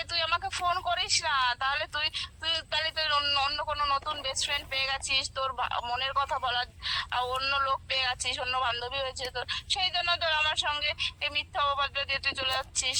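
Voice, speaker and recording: A woman's voice: high voice, steady tone, fast talker, sharp tone, a little hiss or hum, poor audio. A woman on the phone in a heated informal argument, defending herself indignantly and repeating herself. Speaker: friend receiving a prank call.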